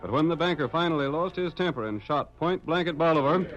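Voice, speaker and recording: A man narrates in classic 1940s radio fashion with a mid-range, very animated voice. The vintage recording is muffled, with music behind him. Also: a little high, quick pace.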